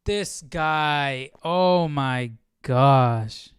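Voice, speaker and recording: A man's voice: a little high, drawn-out words, clear, resonant tone, no room sound. A man hosting and reacting casually to a reading, with exclamatory, conversational asides. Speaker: host.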